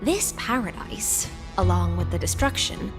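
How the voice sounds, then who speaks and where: An actress playing a calm, formal female knight in a game. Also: lowish voice, measured pace. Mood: composed. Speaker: game character. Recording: game cutscene with music underneath.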